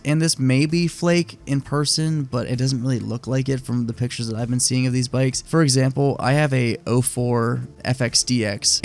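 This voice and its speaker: A man getting over a cold, chatting casually and opinionatedly in a relaxed, rambling way full of fillers, hosting his own video. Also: mid-range voice. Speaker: motorcycle vlogger. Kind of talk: casual screen-share commentary.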